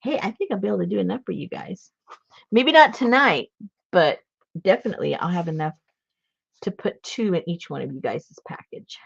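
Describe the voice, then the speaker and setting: A woman's voice: lowish voice, animated, fairly quick, gravelly, breathy edge, no room sound. A woman from Rhode Island chatting casually while she crafts, laughing often and telling stories. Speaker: craft livestream host. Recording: dull lo-fi sound.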